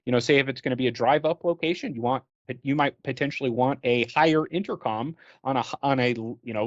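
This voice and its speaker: A man's voice: mid-range voice, dry recording. Man narrating training, slightly dull dry recording. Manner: conversational, explanatory, loosely phrased with frequent "you know". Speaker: product training presenter. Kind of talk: informal instructional slide narration.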